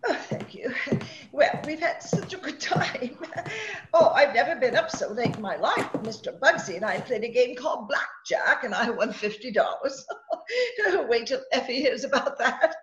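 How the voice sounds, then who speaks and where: A woman's voice: sits high, very animated, unhurried, long pauses, highs cut off, background noise. A woman playing a sweet, naive older mother who chatters in a flustered, genteel, twittering way, in 1940s radio drama style. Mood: cheery, nervous.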